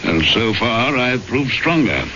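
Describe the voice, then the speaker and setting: A man acting a grim, fatalistic titled gentleman with a formal, measured, dry delivery in an old-time radio mystery. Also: medium pitch, very animated, over music. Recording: dull, very noisy vintage radio recording.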